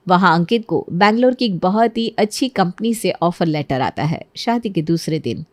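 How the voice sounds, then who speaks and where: Low-voiced woman narrating a story. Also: animated, moderate pace, no room sound.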